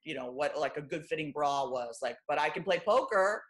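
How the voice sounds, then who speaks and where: A woman from Los Angeles with a deep, low voice, a former stand-up comic talking candidly and wryly as an interview guest. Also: lively intonation, brisk. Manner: wry, self-deprecating, lots of fillers like "you know" and "like".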